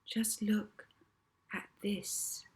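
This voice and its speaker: A cunning young orphan girl from a children's storybook, acted by a woman. She speaks calmly and deliberately, with quiet command. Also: medium pitch, whispered, voice pushed up. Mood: soft, hypnotic. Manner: soft, slow, commanding. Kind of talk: audiobook character voice.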